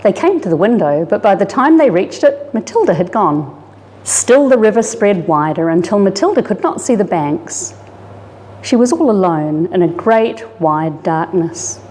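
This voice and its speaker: A woman reads a children's picture book aloud in a dramatic, animated storytelling manner. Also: mid-range voice, normal speed. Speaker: story reader, disaster resilience officer. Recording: reverberant hall-like room.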